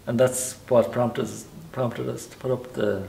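A middle-aged man from Ireland with an Irish Midlands accent, a farmer talking in a casual interview, halting with many restarts and fillers. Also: medium pitch, flat delivery, gravelly, warm tone, a little hiss or hum. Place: outdoors in a field, then inside a farm shed.

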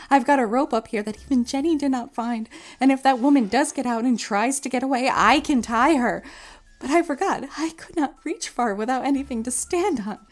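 An actress plays a woman losing her mind in confinement, in a very high voice. She confides in the listener theatrically, with secretive, childlike obsession, as in an audio drama monologue. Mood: secretive, confiding.

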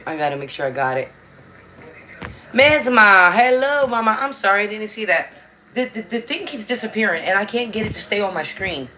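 A woman's voice: mid-range voice, animated, moderate pace, sharp tone, slight background noise. A woman, chatting casually with livestream viewers while she cooks in her home kitchen, talking into a tablet on the counter. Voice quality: raspy. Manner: chatty, greets viewers by name, drawling. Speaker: cooking livestream host. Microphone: tablet on the counter, close.

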